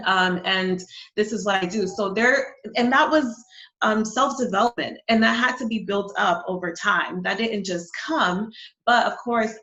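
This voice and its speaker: A woman's voice: mid-range voice, pushed and bright. A woman from Honduras talks with conviction and emphasis as an interview guest. She is heard in an echoey room on a dull, lo-fi recording. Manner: confident, emphatic, reflective. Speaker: marketing strategist, guest. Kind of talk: interview conversation. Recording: dull, roomy sound.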